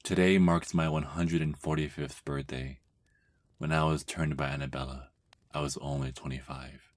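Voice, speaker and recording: A man playing a weary, brooding centuries-old vampire who reads his diary in a measured dramatic monologue for an ASMR roleplay. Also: very deep voice, fairly even intonation. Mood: melancholy.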